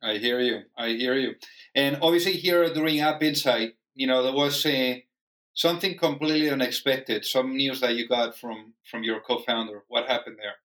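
A man's voice: medium pitch, moderate pace, a bit bright, low-quality recording. A man hosting a podcast, asking questions and affirming answers with "I hear you" and "got it," often repeating words as he goes. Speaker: podcast host. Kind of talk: interview questions.

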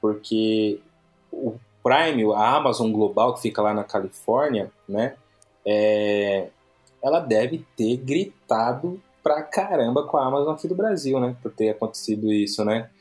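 A man's voice: medium pitch, lively intonation, a little slow, a little mellow, soft background music. A man with a Brazilian Portuguese accent hosting a podcast, chatting casually and rambling, with many restarts and tag questions. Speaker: podcast host.

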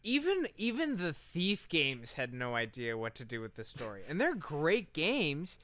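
A man's voice: fairly high pitch, wildly swooping pitch, a little slow, a bit bright, no room sound. A man co-hosting a podcast, chatting casually and ranting in an emphatic, profane, argumentative way. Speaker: podcast co-host. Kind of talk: casual chat, opinionated ranting.

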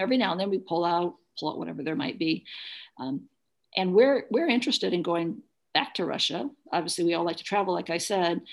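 A woman's voice: fairly low pitch, vocal fry. A middle-aged woman talking as an interview guest, recounting personal experience in a plain-spoken, matter-of-fact way. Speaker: interview guest, adoptive single mother.

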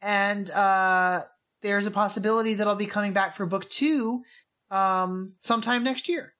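Female podcast co-host, mid-pitched, informal banter with a teasing, enthusiastic fan edge. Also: steady tone, unhurried, fairly bright tone. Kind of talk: casual banter podcast chat.

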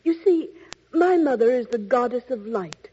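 A woman playing a gentle, dignified young princess, speaking formally in a fairy-tale audio play. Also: on the high side, animated, normal speed, breathy edge. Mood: earnest. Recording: dull lo-fi recording.

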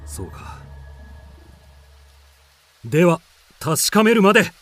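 An actor playing a bold, stubborn warrior of Heian Japan, with resolute archaic phrasing in a radio drama. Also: male voice, on the high side, huge pitch swings, slow pace, no room sound, soft background music, full character voice. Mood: bold, determined.

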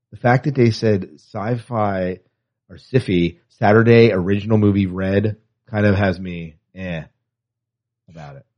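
A man co-hosting a podcast, chatting casually and with a fan's enthusiasm about entertainment news, on a lo-fi recording. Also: fairly low pitch. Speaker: podcast co-host. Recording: dull, lo-fi podcast audio.

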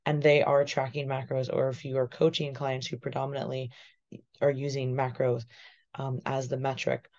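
Slightly hoarse woman on a video call. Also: very deep voice, little pitch movement, moderate pace, darkish tone. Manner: thoughtful, explanatory, says "right?" often. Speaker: guest physique coach. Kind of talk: casual podcast discussion. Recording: muffled video call audio.

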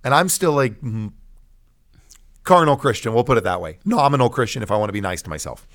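A man, a pastor, telling his personal testimony in conversation as a guest, emphatic, with deliberate pauses and repeated phrases. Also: mid-range voice, lively intonation, a bit bright.

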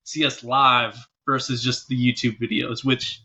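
A man's voice: medium pitch, flat delivery, unhurried, pushed and bright, lo-fi sound. A man speaking casually and informally as an interview guest, a working musician talking about his job. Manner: informal, often checking in with "you know". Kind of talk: casual chat.

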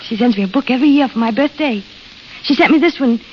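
An actress playing a blunt, restless young American desert waitress with wistful dreams, plain-spoken in an old radio drama style. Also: female voice, on the high side, quick pace, lightly acted. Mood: wistful, confiding. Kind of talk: 1930s-40s radio drama acting. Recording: muffled, hissy vintage radio recording.

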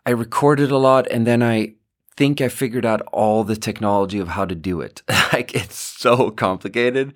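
A man with a low voice hosts a casual solo podcast and rambles along, often stopping to correct himself and chuckling between thoughts. He speaks close to a mic in a small home studio. The recording is very dry, with no room echo. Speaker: podcast host.

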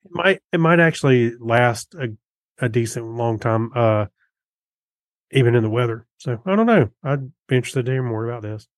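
A man co-hosting a podcast, chatting casually and musing out loud in a conversational way. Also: medium pitch, animated, moderate pace, no room sound, clean studio sound. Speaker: podcast co-host. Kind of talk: casual chat.

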